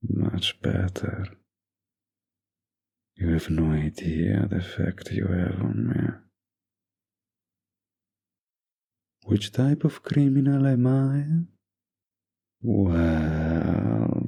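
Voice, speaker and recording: A man acts out a dominant mafia heir doting on his lover in an intimate audio roleplay. The voice is dark and mellow, full of gravelly fry and husky rasp. He murmurs in a teasing, possessive way. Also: sits low, full character voice. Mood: tender, teasing.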